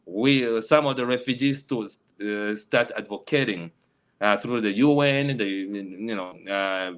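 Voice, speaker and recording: A middle-aged man from the Congo whose first language is Swahili speaks in a low voice. He talks haltingly, often restarting his sentences. He is heard over a video call. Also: clean tone. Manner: halting, many restarts and fillers. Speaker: panelist. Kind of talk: personal story on a panel.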